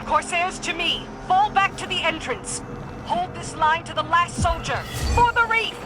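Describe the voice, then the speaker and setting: A woman playing a loyal, battle-hardened commander in a video game, with a direct, measured manner. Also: very high voice. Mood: rallying shout. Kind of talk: video game cutscene acting. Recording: loud music behind. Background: music.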